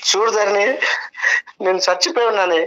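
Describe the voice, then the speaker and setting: A man's voice: high-pitched, fairly even intonation, brisk, highs cut off. A man, arguing emotionally as an aggrieved guest, agitated, insistent and repeating himself.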